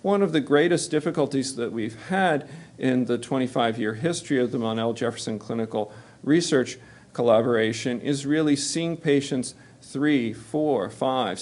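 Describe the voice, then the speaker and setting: A middle-aged American man with a measured, explanatory manner, giving a lecture at a medical seminar. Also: mid-range voice, a little mellow. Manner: measured explanatory delivery, occasional fillers. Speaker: physician presenting at a medical seminar. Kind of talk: lecture to health care workers.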